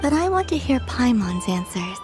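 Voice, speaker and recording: High-voiced, serene moon goddess. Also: female voice, big pitch swings, normal speed, loud music behind the voice. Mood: gentle. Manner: simple, understated, earnest. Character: serene, naive young moon goddess, curious and literal. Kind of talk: video game voice acting.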